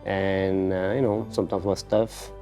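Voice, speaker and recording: A man's voice: low-pitched, level tone, moderate pace, dark tone, soft background music. A man from Morocco raised in France, speaking English in a documentary interview, leaning on "you know". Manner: conversational, leans on "you know". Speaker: interviewee, savate fighter.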